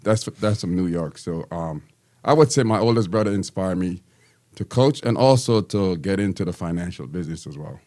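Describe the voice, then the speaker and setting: Low-voiced guy in his 50s from guyana, coach answering questions, lots of ums and restarts. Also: mellow. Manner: friendly, halting, many ums and restarts. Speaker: teacher and basketball coach. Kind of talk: casual interview answers.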